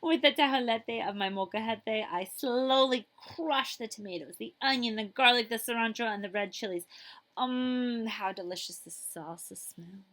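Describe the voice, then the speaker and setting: A woman's voice: on the high side, moderate pace, fairly bright tone. A woman school librarian reading a children's picture book aloud expressively, with chatty asides to her listeners.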